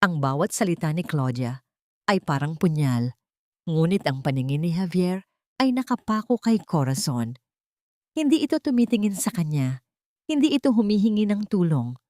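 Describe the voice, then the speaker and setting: A woman giving slow, solemn, scripted narration for an audio drama. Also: on the low side, very animated, a little mellow, no room sound, studio quality.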